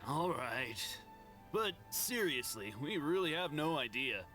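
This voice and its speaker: A man's voice: on the high side, very animated, slow pace, pushed and bright, heavily acted. A man playing a defensive, clueless townsman in a video game, brusque and questioning. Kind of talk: English video game voice acting. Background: game music.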